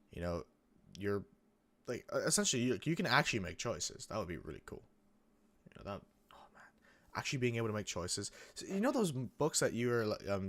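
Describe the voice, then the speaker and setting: A young man hosting a gaming channel, chatting casually over gameplay in a rambling way with lots of restarts, his voice a bit croaky from coming down with a cold. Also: on the low side. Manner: rambling, many restarts and fillers. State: getting sick, a bit croaky.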